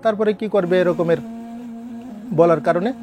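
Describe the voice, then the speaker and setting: A man with a Bangladeshi accent telling a ghost story informally, in a halting, colloquial, repetitive way. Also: high voice. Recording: voice over prominent background music. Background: music.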